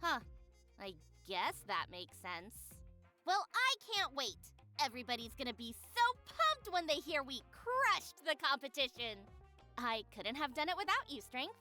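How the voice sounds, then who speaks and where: A woman voices a cocky schoolgirl with a high, bright voice and lively swings in pitch. She is forceful, self-assured and quick to get exasperated, in a game-dialogue style over music. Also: heavily acted. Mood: cocky, insistent. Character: brash, cocky middle-school girl with a short temper. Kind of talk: animated visual novel character acting.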